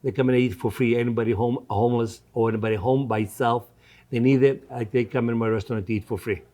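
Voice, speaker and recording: An older man in his seventies with a Greek accent and a soft, mellow tone at a middle pitch. He is a restaurant owner answering interview questions in non-native English. He talks conversationally and tends to repeat his phrases. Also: steady tone, quick pace. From Greece. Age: 70s.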